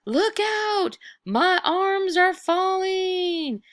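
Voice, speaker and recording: A woman voicing an eerie male ghost from a children's storybook, calling out in loud, drawn-out wails and commands. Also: very high-pitched, animated, pitched above the performer's own voice, heavily acted. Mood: wailing alarm. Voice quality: thin, sad wail or commanding roar. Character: wailing, menacing male ghost assembling his own body.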